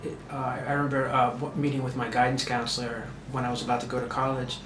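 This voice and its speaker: A man's voice: medium pitch, level tone, fast talker. A man, a guest in a casual interview, talking hesitantly with many ums and restarts. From United States. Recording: echoey room with clear background noise.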